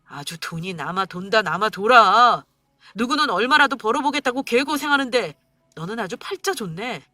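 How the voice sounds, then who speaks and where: A woman voicing an angry, stingy husband who shouts and sneers at his wife. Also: sits high, very animated, normal speed, no room sound, soft background music, pitched above the performer's own voice, full character voice. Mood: scornful. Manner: shouting, scornful and bitter.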